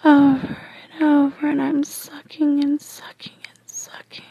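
Woman whispering real breathy n slow, sensual intimate storytelling, pretty flat pitch, dry sound. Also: dry recording, poor audio. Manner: hushed, slow, sensual delivery. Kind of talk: intimate whispered erotic audio narration, first person.

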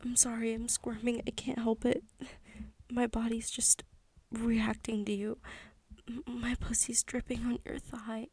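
A woman's voice: a little high, monotone, a little slow, no echo. A young woman whispering close to the mic in a pleading, submissive tone for an intimate audio roleplay. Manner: breathy whispering, close and hushed.

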